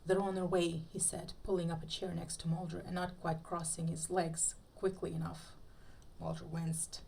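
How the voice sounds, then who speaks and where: Woman reading aloud in a creaky voice. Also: on the low side, little pitch movement, moderate pace, darkish tone, a little hiss or hum, poor audio. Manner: playful, with joking asides. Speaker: podcast host. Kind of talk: dramatic live reading of fan fiction.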